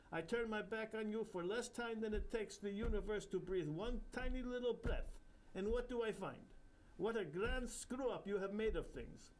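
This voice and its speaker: A man's voice: sits high, a little hiss or hum, pitched above the performer's own voice, rough recording. A man doing a giggly nasal Indian guru spirit. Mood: scolding gleefully. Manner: bubbly, amused, scolding. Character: gleeful giggling old male guru spirit.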